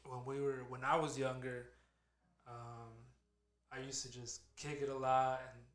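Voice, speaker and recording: A man's voice: medium pitch, level tone, a little slow. A man in his late twenties hosting a podcast, talking loosely and profanely, turning motivational. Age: 20s. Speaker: podcast host. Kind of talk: casual podcast chat. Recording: echoey room.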